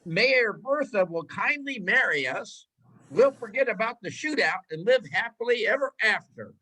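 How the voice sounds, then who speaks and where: Older man plays smitten cowboy; high, bright, eager, radio western. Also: animated, normal speed, heavily acted, low-quality recording. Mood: lovestruck. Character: lovestruck cowboy gunslinger, sweet and eager. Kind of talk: amateur old-time radio comedy acting.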